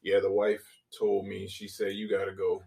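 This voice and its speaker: Animated man telling a story off-mic. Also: low voice, normal speed, vocal fry. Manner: animated, acts out his own reactions, swears freely. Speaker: podcast guest. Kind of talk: casual storytelling chat. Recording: voice a bit distant from the mic.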